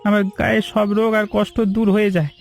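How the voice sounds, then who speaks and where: A man's voice: sits high, steady tone, quick pace, creaky. A man voicing a frail, sentimental old family retainer in a cartoon, plaintive and effusive in manner. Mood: touched, grateful. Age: older. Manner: plaintive, emotional, effusively grateful. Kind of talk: cartoon story dialogue.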